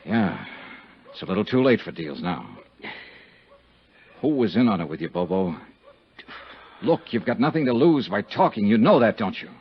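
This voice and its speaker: An actor playing a tough, wry insurance investigator in a 1950s radio drama, American accent, blunt and sardonic. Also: male voice, on the low side, animated, normal speed, long pauses, slightly rough. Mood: subdued, urgent. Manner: hard-boiled, sardonic, blunt. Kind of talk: 1950s radio detective acting and first-person narration. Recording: muffled 1950s radio recording, dramatic music behind.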